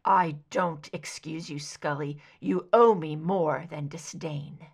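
A woman's voice: low voice, fairly even intonation, takes it slowly, vocal fry, slightly rough, voice pushed down, exaggerated voice. A woman reading an angry, protective male agent in an audiobook, in a terse, confrontational, emotional way. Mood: hurt, intense. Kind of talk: dramatic audiobook character dialogue.

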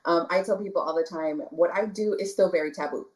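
A woman from the Rio Grande Valley in Texas, a guest talking casually in an interview, soft-spoken with many fillers and restarts. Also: lowish voice, fairly quick, rough recording. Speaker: guest, spiritual candle maker.

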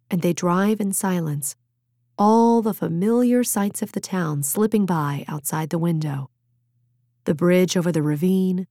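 A woman reading a novel as an audiobook narrator, measured and expressive in her storytelling. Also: lowish voice, dry recording, clean studio sound.

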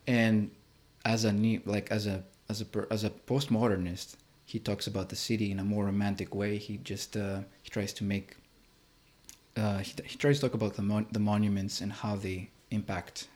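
Guy with a lowish voice in an interview, kinda halting, keeps restarting his sentences. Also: little pitch movement, darkish tone. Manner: halting, repeats words while searching for phrasing. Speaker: filmmaker being interviewed. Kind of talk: interview conversation.

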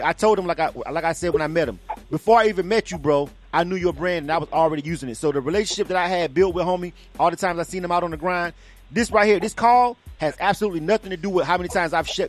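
A man co-hosting a radio call show, talking in a casual, emphatic, slangy way with repeated words and free swearing. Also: on the high side. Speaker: radio program director, co-host.

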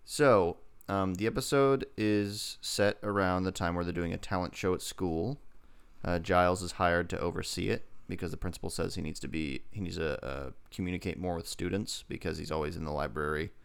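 A man's voice: deep voice, fairly quick, vocal fry, clean tone. A man co-hosting a podcast, chatting casually through a TV episode review in a rambling, joking way. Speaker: podcast co-host.

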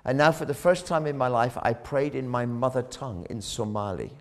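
A man preaching a sermon, telling a story dramatically, rising loud on key words and dropping low again. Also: fairly quick. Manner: dramatic, swells loud on key phrases then drops low.

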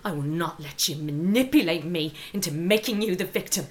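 A woman's voice: lowish voice, animated, a little fast, close to an everyday voice. An actress playing a stern, exasperated middle-aged Irish mother in a radio drama, scolding and commanding. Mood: stern, angry. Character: stern, exasperated mother of a rebellious teenage daughter.

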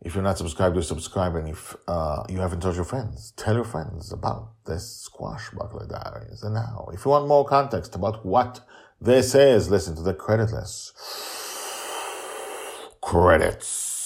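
A middle-aged man hosting his own storytelling podcast, talking informally and emphatically to listeners with drawn-out words. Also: low-pitched, vocal fry, darkish tone, breathy edge. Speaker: author, narrator and podcast host. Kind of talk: reading own serial story and addressing listeners.

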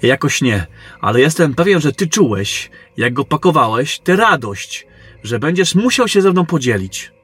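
A man playing a general's cheeky, eager, hungry sidekick in a fantasy audio drama, with a raspy voice. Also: fairly high pitch, big pitch swings, moderate pace, fairly bright tone, no room sound, soft background music, exaggerated voice.

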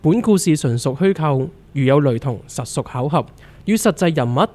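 A woman's voice: low voice, expressive, moderate pace, dark tone, soft background music. A woman with a Hong Kong Cantonese accent playing a blunt, teasing co-worker in a comedic audio drama, given to mock-serious exaggeration.

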